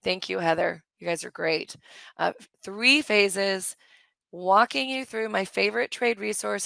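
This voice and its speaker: A middle-aged woman with a slightly hoarse voice, hosting a live webinar in a chatty, enthusiastic way and answering questions from the chat. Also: mid-range voice, sharp tone, no room sound. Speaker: course host on a live webinar. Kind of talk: live webinar sales pitch and Q&A.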